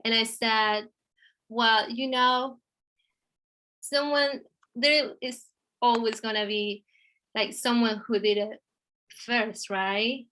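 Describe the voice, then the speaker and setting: Slow-speaking woman with an Argentinian accent. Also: a little high, steady tone, sharp tone, rough recording. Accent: Argentinian Spanish. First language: Spanish. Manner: slow and halting, many restarts and fillers. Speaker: artist. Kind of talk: virtual conversation. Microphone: video call.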